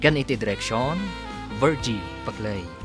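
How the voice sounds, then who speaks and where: A man's voice: mid-range voice, expressive, a little slow. Dramatic male radio announcer over music. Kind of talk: scripted radio serial narration. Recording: music bed under announcement.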